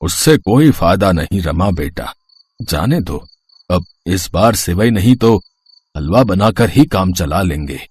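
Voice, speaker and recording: Man playing a husky, gentle old villager. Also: deep voice, expressive, measured pace, heavily acted. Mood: resigned, consoling. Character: gentle, resigned elderly village man advising youngsters. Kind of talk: storybook character acting.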